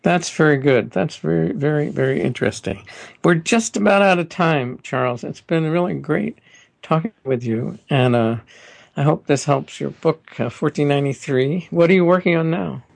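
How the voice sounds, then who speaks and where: A man with a gravelly, fry-laden voice that sits somewhat high for a man. He asks his guest long, meandering questions, pausing and hedging as he gathers his thoughts. The sound is dry and close. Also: no echo. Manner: rambling, hesitant, many fillers and restarts. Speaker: radio show host. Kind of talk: interview questions.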